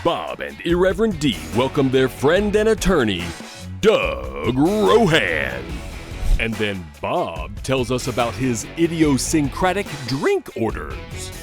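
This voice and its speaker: A man's voice: mid-range voice, wildly swooping pitch, slow pace. Dramatic male announcer over guitar. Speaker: show announcer. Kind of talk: scripted announcer intro.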